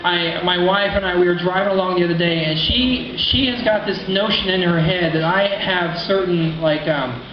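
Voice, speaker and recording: High-voiced man doing stand-up. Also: moderate pace, hardly any pauses. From Kansas. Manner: deadpan storytelling with acted quotes. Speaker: stand-up comedian. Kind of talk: stand-up comedy set. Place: small bar stage. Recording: echoey room, lo-fi, noisy.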